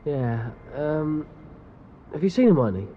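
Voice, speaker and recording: A man playing a dopey, grumbling, awkward teenage schoolboy with an English accent and a husky voice in a video game. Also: a little high, lively intonation, slow pace, big-room sound, narrow, tinny audio, slight background noise. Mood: dazed. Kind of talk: video game cutscene voice acting.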